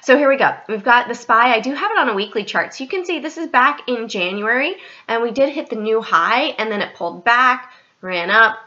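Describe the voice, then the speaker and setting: A woman hosts a casual trading commentary over her screen, her voice stuffy and a little scratchy. The tone is bright and forward, and the recording is dull and lo-fi. She talks straight to viewers and keeps correcting herself on the fly. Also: fairly high pitch, expressive, a little fast. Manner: chatty, direct address, frequent self-corrections. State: stuffy and slightly scratchy from allergies. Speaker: trading channel host.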